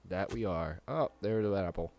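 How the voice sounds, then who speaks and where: A man's voice: low voice, normal speed, creaky, a little mellow. A man giving casual gameplay commentary, reacting and reading out lines as he plays. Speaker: game streamer reacting and reading dialogue choices.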